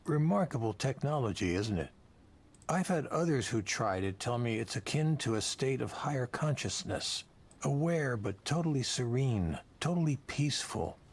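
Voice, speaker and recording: An actor playing a jaded, whiskey-drinking tech visionary in a visual novel, speechifying persuasively in a ragged, creaky voice. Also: male voice, mid-range voice, animated, normal speed. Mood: musing, persuasive. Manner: speechifying, persuasive, world-weary. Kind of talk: dramatic visual novel voice acting.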